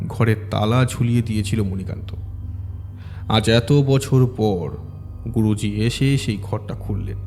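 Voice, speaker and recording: A man tells a scripted ghost story with a dark, relaxed tone at a middling pitch. He is measured and dramatic, leaving pauses. The voice rings in long reverb with music underneath. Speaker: audio story narrator.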